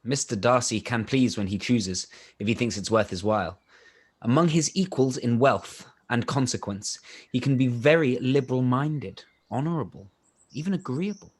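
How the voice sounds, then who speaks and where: An ingratiating young army officer played by a man in a period radio play. He speaks at a middling pitch, measured and polite, as if sharing confidences. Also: lightly acted.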